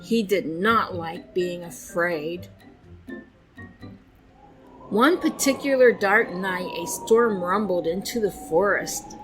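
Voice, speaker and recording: A woman narrating a children's storybook with expressive, deliberate phrasing. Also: fairly low pitch, over music, low-quality recording.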